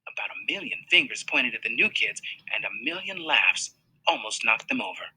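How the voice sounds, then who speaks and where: Bright, husky man reading a novel aloud. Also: mid-range voice, moderate pace, rough recording. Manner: expressive storytelling, voices for characters. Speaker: reader.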